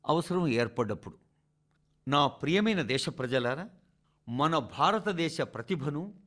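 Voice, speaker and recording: A man reading a scripted radio address in a formal, measured oratorical manner, speaking directly to the listeners. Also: a little high, fairly bright tone. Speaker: radio reader of a translated national address.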